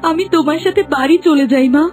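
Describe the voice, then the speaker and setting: A woman's voice: high-pitched, quick pace, a little mellow, faint music behind, close to an everyday voice. A woman voicing a meek, mistreated young wife in a cartoon, pleading plaintively. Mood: fearful, pleading.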